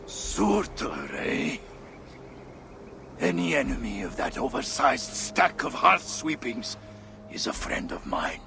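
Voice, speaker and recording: Coarse swaggering game dwarf chief, gravelly and bright, faint noise behind. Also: male voice, medium pitch, huge pitch swings, takes it slowly, soft background music, heavily acted. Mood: gruff, wry. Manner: blunt, earthy, swaggering. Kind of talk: video game cutscene acting.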